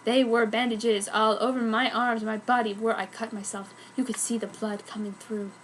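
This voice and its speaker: A woman with a very high voice narrates a fan fiction story. The reading is dramatic and comic, told with exaggerated earnestness. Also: level tone, normal speed, slight background noise, rough recording.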